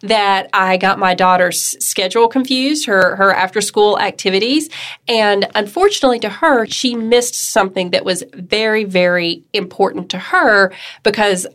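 A woman's voice: mid-range voice, moderate pace, fairly bright tone. A middle-aged woman co-hosting a casual studio talk show, speaking in a reflective way in full sentences. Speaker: co-host. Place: radio studio.

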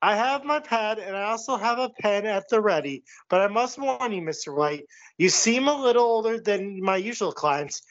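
A man playing a shady, brazen wrestling doctor in a comedic audio play, wordy and deadpan. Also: high voice, moderate pace, lo-fi sound. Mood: glib, salesman-like.

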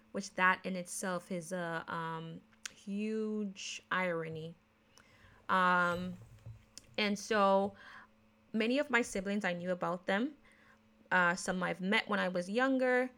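A woman's voice: lowish voice, slow pace, a bit bright. A woman from Jamaica with a Jamaican accent mixed with American speech, hosting her own podcast in a chatty, casual way, with many fillers and restarts and laughing at herself. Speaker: podcast host. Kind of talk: casual solo podcast talk on investing.

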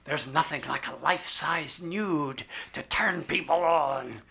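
Brusque, crusty old rich man, high-voiced. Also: measured pace, gravelly, pushed and bright, breathy edge, full character voice. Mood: impatient, blunt. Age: older. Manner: blunt, peremptory. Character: crusty, wealthy old male art collector, brusque and impatient. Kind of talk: audio drama acting.

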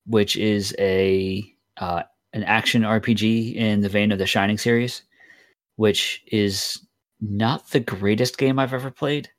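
Laid-back low-voiced male podcaster. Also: a little slow, dry recording. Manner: relaxed, hesitant, lots of ums. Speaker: podcast co-host. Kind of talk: casual gaming chat.

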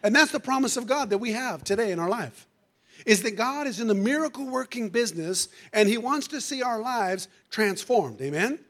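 High-voiced preacher man, going hoarse. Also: lively intonation, normal speed, fairly bright tone. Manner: fervent, peppers every phrase with "amen" and "hallelujah"; calls out to the congregation. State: losing his voice. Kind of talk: sermon. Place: church sanctuary.

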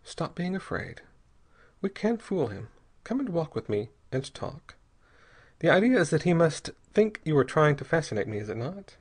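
A man voicing a controlled, courteous but firm adventure hero in an audiobook, speaking crisply and deliberately with quiet command. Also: expressive. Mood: calm reassurance. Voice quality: low-toned, husky.

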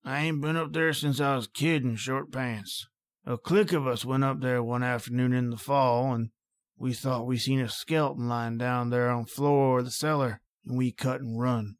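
A man's voice: mid-range voice, steady tone, normal speed, lightly acted. An actor playing a garrulous, superstitious old country man with a rural American accent, telling local legends in a rambling folksy way in an old-time radio drama. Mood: spooked, folksy. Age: older. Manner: folksy, rambling, emphatic dialect speech.

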